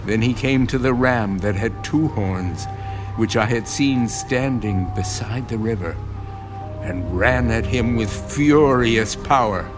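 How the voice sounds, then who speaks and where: Casting: male actor as biblical prophet narrator, slow, mid-pitched, solemn storyteller, music under the scripture drama. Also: lightly acted.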